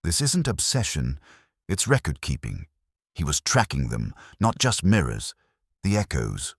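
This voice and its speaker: A man voicing a calm, shrewd police inspector in a mystery story, with a deep, husky voice and terse, grave delivery. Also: a little slow, no echo, studio quality, heavily acted. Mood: grave, deliberate.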